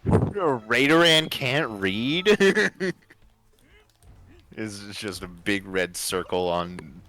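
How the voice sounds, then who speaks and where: A man's voice: fairly low pitch, animated, extremely slow, pushed and bright. A man joking casually and a little giggly as co-host of a livestream. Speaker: co-host of a fan fiction reading show. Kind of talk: casual group chat on a livestream.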